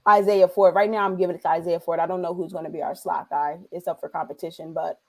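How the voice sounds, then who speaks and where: A woman's voice: on the low side, steady tone, quick pace, mellow. Chatty woman breaking down football. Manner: chatty, analytic, trails off. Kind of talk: casual sports talk.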